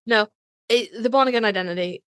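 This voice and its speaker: A woman's voice: on the high side, fairly quick, a bit bright, clean tone, no echo, studio quality. A woman co-hosting a podcast in casual fan chat, speaking emphatically with plenty of swearing and teasing. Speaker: podcast co-host.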